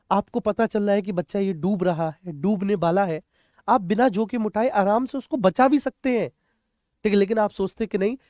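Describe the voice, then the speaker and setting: A coaching teacher with a mid-pitched voice in a dry, close recording. The delivery is a classroom lecture that repeats key points, puts questions to students and addresses them like children. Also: a little mellow, dry recording. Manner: repeats key points, often checks understanding. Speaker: teacher, exam coaching instructor.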